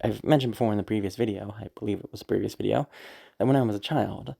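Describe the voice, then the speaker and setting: A woman with a low, deep, mellow voice talks through a casual afterword. She rambles chattily and laughs often. She is a little sick, so her throat sounds worn. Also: fast, creaky, slightly rough. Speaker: audio roleplay creator. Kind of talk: casual spoken afterword, explaining.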